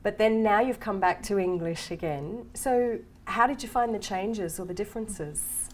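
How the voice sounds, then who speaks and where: A woman's voice: mid-range voice, moderate pace. A woman co-hosting a podcast, leading the guest with curious questions. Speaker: podcast co-host. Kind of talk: conversational podcast interview.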